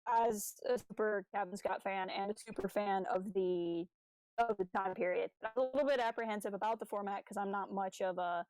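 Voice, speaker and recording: A woman's voice: mid-range voice, brisk, darkish tone, slight background noise, rough recording. A young adult woman co-hosting a podcast, talking informally and with some self-deprecation in casual discussion. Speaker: podcast co-host.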